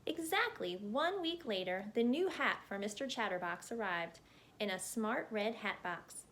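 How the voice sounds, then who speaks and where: A woman reading a children's picture book aloud as a storyteller, in an expressive narrating style. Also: mid-range voice, measured pace, a little hiss or hum.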